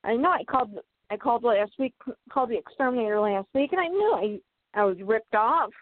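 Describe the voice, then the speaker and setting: A woman hosts a casual fan talk show in a fairly high voice, chatty and prone to wandering off topic. She sounds a little worn out. Her voice comes through a thin, phone-like line with a dry, close sound. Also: lively intonation, brisk, dry recording. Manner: chatty, rambling, easily sidetracked. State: tired. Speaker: podcast host.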